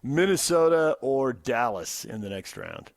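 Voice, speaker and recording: A man's voice: mid-range voice, huge pitch swings, unhurried, creaky, no room sound, clean studio sound. An American man hosting a conversational sports talk radio show.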